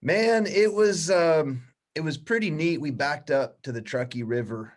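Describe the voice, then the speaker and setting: A man around forty, a guest in a casual interview, telling stories in a relaxed, easygoing way. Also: a little high, a little slow. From United States.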